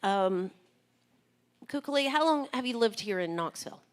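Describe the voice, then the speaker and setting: A woman's voice: on the high side, expressive, moderate pace, clean tone. A woman hosting a live radio interview. She talks in a hesitant way, searching for words with many ums. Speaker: radio host.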